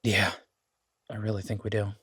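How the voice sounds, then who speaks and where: Easygoing chef, husky and close-miked, played by a man. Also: lowish voice, little pitch movement, normal speed, bright, forceful tone, no room sound, full character voice. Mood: relaxed, affectionate. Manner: casual, folksy, reassuring. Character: easygoing down-home male chef, affectionate restaurant owner. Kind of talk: audio roleplay acting.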